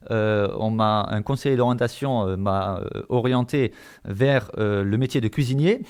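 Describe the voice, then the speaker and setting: A man's voice: on the low side, normal speed, a little mellow, smooth. A man from Marseille answering in an interview, hesitant and searching for his words. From Marseille, France. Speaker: interview guest, volunteer visitor of the elderly.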